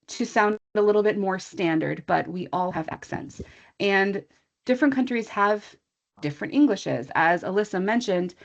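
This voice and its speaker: An American woman teacher talks through a training session in a loose, chatty way, tossing in asides as she goes. Her voice sits at a middling pitch for a woman. The sound comes through a slightly dull, lo-fi online call. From USA. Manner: chatty and informal, thinking aloud with asides to the audience. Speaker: English teacher presenting a training webinar. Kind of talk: conversational online presentation.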